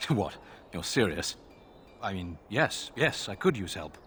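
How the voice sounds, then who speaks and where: A man's voice: on the low side, sing-song, slow pace, a bit bright, full character voice. Weary fantasy-game guard sergeant, male, wry and sardonic, faint background noise. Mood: exasperated, dry. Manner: dry, wry, exasperated. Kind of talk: fantasy video game dialogue acting.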